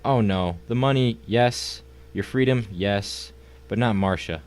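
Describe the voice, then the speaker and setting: Guy playing a smooth crime boss in an audio drama, lowish voice, cultured drawl, world weary. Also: unhurried, darkish tone, close to an everyday voice. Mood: smug, bored. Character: smug, world-weary crime boss, lazy, amused, turning desperate. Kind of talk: dramatic audio story acting.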